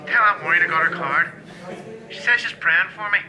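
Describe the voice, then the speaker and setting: A man's voice: high-pitched, brisk, pushed and bright. A Northern Irish man playing a prisoner phoning his mum in a radio play, calling out plainly. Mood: insistent, affectionate.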